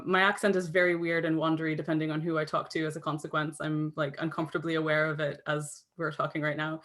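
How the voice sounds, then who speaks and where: A woman's voice: low voice, bright, forceful tone. A woman with a Canadian accent, a guest author answering interview questions in a rambling, self-interrupting way, tired from too little sleep. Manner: rambling, self-interrupting, many fillers and restarts.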